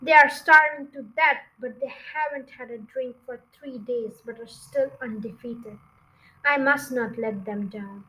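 A woman's voice: fairly high pitch, a little slow, sharp tone, poor audio. A woman playing a proud, careful and weary father fox in a storybook. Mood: resolute, tender.

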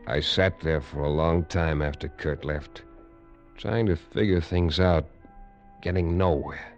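An actor playing a tough American detective in an old radio drama, narrating in clipped, hard-boiled lines. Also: male voice, very deep voice, steady tone, measured pace, faint music behind. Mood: tense. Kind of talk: 1950s radio drama acting. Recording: dull old radio recording.

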